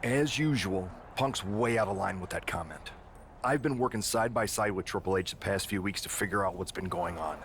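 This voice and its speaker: An actor playing an earnest, decisive wrestling show boss in a video game, measured and businesslike. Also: male voice, sits low, a bit bright, a little hiss or hum. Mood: concerned, businesslike. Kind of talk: scripted video game cut-scene dialogue.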